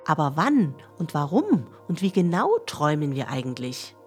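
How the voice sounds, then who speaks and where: A science explainer, played by a woman, instructs young listeners in a children's radio play. Her voice sits fairly low and her manner is factual and didactic, with faint music behind her. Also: big pitch swings, moderate pace, a little mellow. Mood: instructive. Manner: clear, didactic, addressing listeners directly. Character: female science explainer addressing children, matter-of-fact, instructive.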